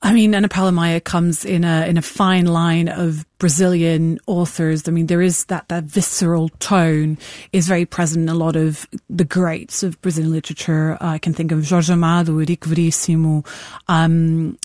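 Lowish Portuguese-speaking woman, dry studio sound. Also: flat delivery, moderate pace, warm tone, no room sound. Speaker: studio guest commentator. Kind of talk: unscripted cultural commentary.

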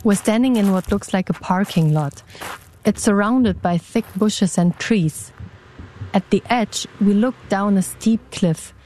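A woman reporter narrating a news story in a measured, calm way. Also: mid-range voice, slight background noise.

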